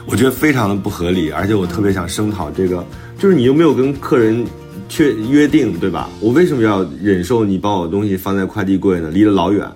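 一位男性脱口秀主播，轻松随意地聊天，爱讲自己的小故事和吐槽。. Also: male voice, on the low side, quick pace, dark tone, soft background music. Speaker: podcast co-host and author. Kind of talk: casual banter on a talk show.

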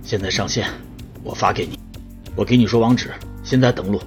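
A man's voice: lowish voice, brisk, a little mellow, faint music behind, lightly acted. An actor playing an earnest, furtive middle-aged male researcher in a Chinese science-fiction radio drama. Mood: urgent.

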